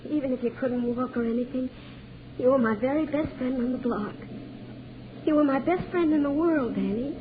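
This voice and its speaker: A woman's voice: sits high, normal speed, lightly acted. Tender young American woman, acted, tinny old radio. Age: young adult. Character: tender, naive working-class young woman in love. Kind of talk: 1940s radio drama acting. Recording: noisy, tinny 1940s radio broadcast.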